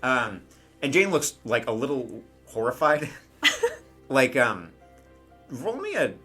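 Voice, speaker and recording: Bright-voiced man running a tabletop game. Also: medium pitch, big pitch swings, takes it slowly, breathy edge, soft background music. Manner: casual, conversational. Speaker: game master. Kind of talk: improvised tabletop game narration.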